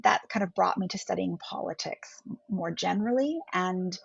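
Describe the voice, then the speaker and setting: A middle-aged woman whose first language is German, speaking as a political scientist guest on a podcast in a thoughtful, measured way. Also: fairly low pitch, creaky, husky, no echo. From India. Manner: thoughtful, measured, often rephrasing. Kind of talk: podcast interview. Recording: dull lo-fi podcast audio.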